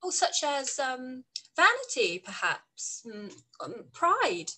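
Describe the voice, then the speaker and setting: A bright, lively young lady, played by an actress in a period radio play. She is witty and spirited, with a wry, pointed way of speaking. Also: sits high, slow, exaggerated voice. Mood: teasing, wry. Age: young adult. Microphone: video call.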